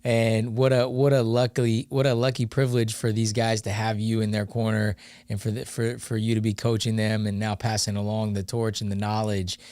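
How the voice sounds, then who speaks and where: A male host with a smooth, clear voice and a dry, close sound. He talks with enthusiasm and often stumbles and restarts mid-sentence. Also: lowish voice, little pitch movement, moderate pace, a little mellow, dry recording. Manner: enthusiastic, repeats words, restarts. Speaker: interview host. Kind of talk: casual interview.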